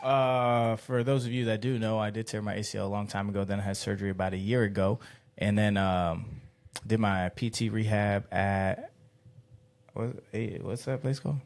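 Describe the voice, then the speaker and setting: A man's voice: fairly low pitch, fairly even intonation, normal speed, a little mellow. A man in his early thirties hosting a podcast, chatting casually, rambling through stories and laughing often. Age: 30s. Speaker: podcast host. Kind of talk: casual chat.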